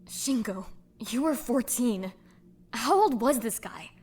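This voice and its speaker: A woman voicing a blunt but caring young woman in a fan audio drama, speaking frankly and gently, with the odd swear. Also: a little high, animated, slow pace, slight background noise. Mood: consoling.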